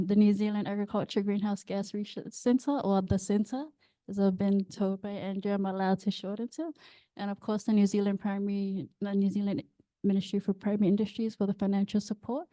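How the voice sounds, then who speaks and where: A woman from Samoa presents at a workshop in a very dark, flat voice. The sound is dry and close. She speaks steadily and formally, with a few fillers. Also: mid-range voice, brisk, no room sound.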